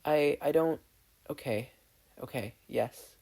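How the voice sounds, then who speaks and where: A narrator voicing an upset, gentle, self-doubting teenage boy who speaks hesitantly and stammers. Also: medium pitch, slow, vocal fry, voice pushed up. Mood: distraught.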